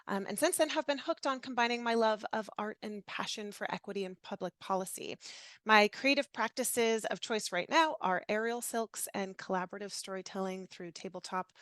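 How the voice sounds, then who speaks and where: A woman's voice: mid-range voice, moderate pace, a bit bright, no room sound. A woman from Washington State, a program coordinator, hosting a webinar over a video call in a friendly, chatty way with frequent ums. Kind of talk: webinar presentation. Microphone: computer mic on a video call.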